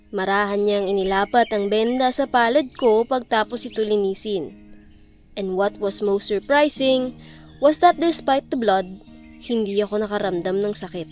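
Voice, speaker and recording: A woman's voice: fairly high pitch, close to an everyday voice. A woman playing a young female student telling her love story in an earnest, poetic radio drama narration.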